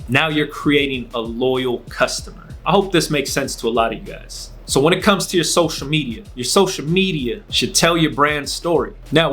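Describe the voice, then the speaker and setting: A man's voice: on the high side, bright, forceful tone. A man from Los Angeles with a Californian accent gives casual instructional advice to camera as a host, speaking emphatically in repeated phrases and checking in with the listener. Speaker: host, clothing brand owner giving marketing advice.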